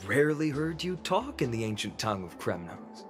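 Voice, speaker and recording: A man's voice: medium pitch, sing-song, brisk, music in the background, natural voice. An actor voicing a good-natured young male hero with a haunted past in an English game dub. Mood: teasing.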